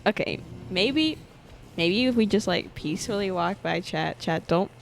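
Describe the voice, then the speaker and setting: A woman's voice: medium pitch, expressive, measured pace, vocal fry. Giggly young woman gaming streamer. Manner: giggly, swears a lot, loud startled outbursts. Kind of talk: casual gameplay commentary. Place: home room. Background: game music.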